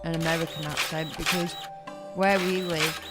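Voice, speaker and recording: A woman's voice: deep voice, little pitch movement, normal speed. A young woman from England with a mixed northern and southern English accent, chatting casually while she plays a game, rambling with lots of filler words. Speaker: gaming streamer. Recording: loud background music.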